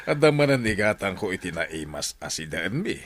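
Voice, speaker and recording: A man's voice: low voice, very animated, moderate pace, a bit bright, heavily acted. A man playing a self-important, money-loving village captain in an Ilocano comedy radio drama, with an Ilocano accent. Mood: pleased, fawning.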